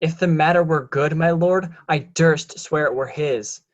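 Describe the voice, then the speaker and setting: A man playing a scheming, resentful illegitimate son in a deliberate, declamatory Shakespearean drama reading. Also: on the high side, close to an everyday voice. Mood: sly, deferential. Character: scheming, resentful bastard son, smooth deceiver.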